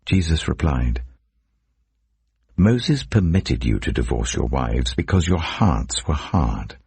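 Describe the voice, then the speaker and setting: A man reading scripture as a narrator in a solemn, measured, dramatic storytelling delivery. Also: extremely low pitch, big pitch swings, vocal fry, a little mellow, slightly rough, no room sound. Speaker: Bible narrator.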